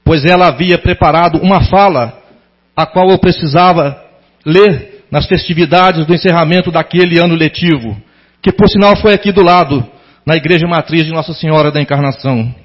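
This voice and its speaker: High-voiced, husky Brazilian man giving a speech. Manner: formal, sentimental, deliberate phrasing. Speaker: former pupil giving a tribute to a teacher. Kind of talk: prepared public tribute speech. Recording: dull lo-fi sound.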